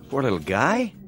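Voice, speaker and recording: An actor playing an easygoing newspaper colleague in a radio drama, breezy and teasing. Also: male voice, mid-range voice, full character voice.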